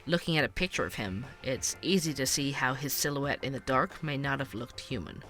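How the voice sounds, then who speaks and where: A man's voice: medium pitch, brisk, sharp tone, faint music behind, natural voice. A man playing an inquisitive, earnest true-crime podcast host, delivering scripted narration. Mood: serious, intrigued.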